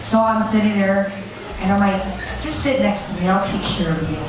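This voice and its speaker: A woman's voice: medium pitch, moderate pace, a crowd in the background. A woman giving an informal seminar talk, blunt and conversational, telling anecdotes and swearing freely. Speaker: seminar speaker. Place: seminar room with an audience. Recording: very echoey room, distant mic, audience noise.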